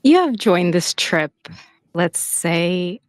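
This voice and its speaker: A woman game master improvising narration for a tabletop roleplaying game, gentle, halting and encouraging. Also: on the low side, animated, no room sound, clean studio sound.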